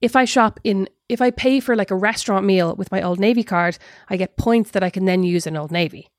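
A woman's voice: mid-range voice, fairly quick, no echo, clean studio sound. An Irish woman co-hosting a podcast, chatting in a rambling, self-mocking way. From Ireland. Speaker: podcast co-host. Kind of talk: casual chat.

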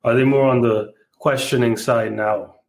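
A man's voice: medium pitch, steady tone, normal speed, vocal fry. A man hosting an interview, reacting briefly and asking short follow-up questions. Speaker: podcast host.

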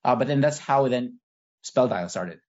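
A man's voice: mid-range voice, lively intonation, normal speed, darkish tone. A man telling how an idea got started, in a loose, upbeat way full of fillers. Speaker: co-guest. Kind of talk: casual interview. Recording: muffled lo-fi audio.

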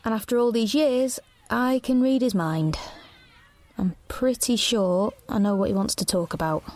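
A woman's voice: on the high side, normal speed, darkish tone, lightly acted. An actress playing a wry, reflective young woman who narrates her own story confidingly in a sci-fi audio drama. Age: young adult. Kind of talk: first-person scripted drama narration.

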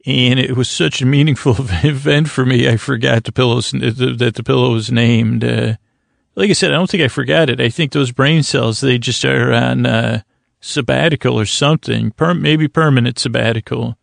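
A man hosting a bedtime podcast, rambling in a halting, stammering, whimsical way that trails off mid-phrase. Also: medium pitch, creaky, no echo, studio quality. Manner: whimsical, mock-earnest. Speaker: podcast host. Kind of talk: rambling, improvised bedtime monologue to help listeners sleep.

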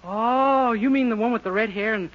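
An actor playing a naive, guileless young man who is slow on the uptake, in a 1940s radio sitcom. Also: very high voice. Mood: naive, eager. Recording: dull, noisy 1940s radio recording.

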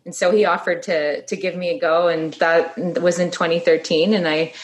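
A woman in her thirties from Canada, a singer-songwriter guest, chatting about her past with lots of ums and likes, going quieter on hard topics. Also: flat delivery, moderate pace, rough recording. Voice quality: low voice for a female singer. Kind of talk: casual interview storytelling.

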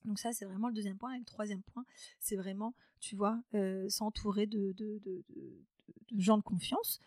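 A woman's voice: medium pitch, steady tone, moderate pace, a little mellow, no echo, studio quality. A woman in her thirties, a podcast guest, talking casually with many hesitations and restarts. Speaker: podcast guest, company founder. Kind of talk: casual interview talk.

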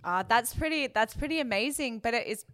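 A married woman co-hosting a casual interview, friendly and quick to laugh as she asks follow-up questions. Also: fairly high pitch, lively intonation, fairly bright tone. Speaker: interview co-host.

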